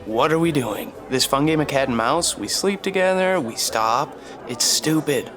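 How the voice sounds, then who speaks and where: A man's voice: on the high side, lively intonation, normal speed, a crowd in the background, natural voice. A man playing a pushy flirty guy at a party. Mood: pleading. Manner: wheedling, persistent.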